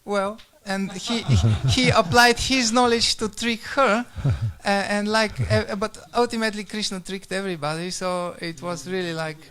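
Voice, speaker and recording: A man sharing his thoughts casually in a group discussion, halting as he searches for words. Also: sits high, sharp tone.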